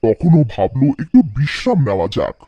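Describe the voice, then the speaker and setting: Animated, mellow male storyteller. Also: medium pitch, fairly quick, dry recording. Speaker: narrator. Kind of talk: scripted children's folktale narration.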